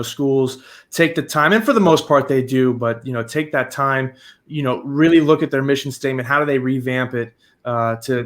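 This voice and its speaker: A man's voice: mid-range voice, fairly bright tone. A man hosting an interview, asking long rambling questions with many restarts. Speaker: podcast host.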